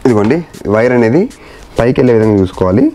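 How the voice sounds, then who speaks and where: A man's voice: mid-range voice, rapid-fire, dark tone, a little hiss or hum. A man talking informally through a hands-on installation as a vlogger, mixing in English words and phrases. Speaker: motorcycle vlogger. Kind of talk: casual hands-on installation walkthrough.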